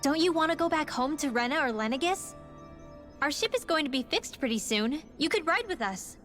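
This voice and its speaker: A high, earnest young woman. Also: lively intonation, a little fast, fairly bright tone. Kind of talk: video game voice acting. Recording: game audio with music.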